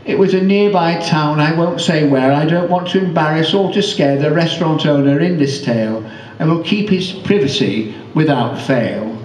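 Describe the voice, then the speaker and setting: Guy reading a funny rhyming poem, slightly high voice, echoey, bit far from the mic. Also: darkish tone, slight background noise. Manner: measured storytelling in rhyme. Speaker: poet performing own work. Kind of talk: comic rhyming poem recital. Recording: echoey room, distant microphone.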